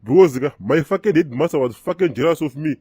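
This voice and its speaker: Quick, blustering Zimbabwean comrade. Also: male voice, on the high side, expressive, a little mellow, breathy edge, no room sound, studio quality. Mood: grumbling. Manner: sloganeering, swearing. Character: blustering political party comrade, quarrelsome and self-important.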